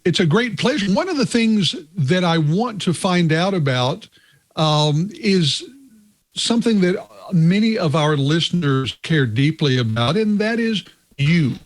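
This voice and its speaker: Guy hosting a tech show, slightly high voice, talks real slow and careful. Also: expressive. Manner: measured, deliberate questioning. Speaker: podcast host.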